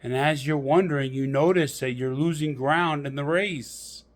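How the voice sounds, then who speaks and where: A man narrating a guided meditation in a deliberate, measured storytelling manner with pauses. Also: on the high side.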